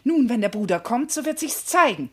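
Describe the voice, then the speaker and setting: Eine Schauspielerin spielt in einem klassischen Vershörspiel eine empörte, scharfzüngige Dienersfrau, die theatralisch und mit Nachdruck schimpft. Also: female voice, a little high, big pitch swings, brisk, breathy edge. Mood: irritated. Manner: scolding, theatrical, emphatic. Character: scolding, indignant servant's wife, fiery and sharp-tongued. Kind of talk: classical German verse comedy radio acting.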